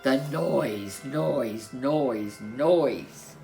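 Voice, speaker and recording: Slow, low-voiced woman reading a storybook. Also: gravelly, warm tone, soft background music. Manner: theatrical, emphatic repetition. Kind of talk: dramatic children's storybook reading.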